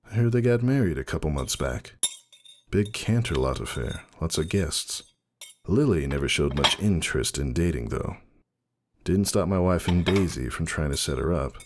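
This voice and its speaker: A man giving a dramatic first-person story reading in a measured, wistful way. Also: a bass voice, a little hiss or hum. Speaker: audiobook narrator. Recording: music behind the reading.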